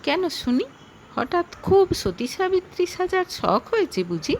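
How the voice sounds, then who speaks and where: Woman as sneering young thug, animated. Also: extremely high pitch, unhurried, a little hiss or hum, pitched above the performer's own voice, heavily acted. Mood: sneering, mocking. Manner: commanding, sneering. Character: menacing, arrogant young thug, a secret lover. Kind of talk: audio story acting.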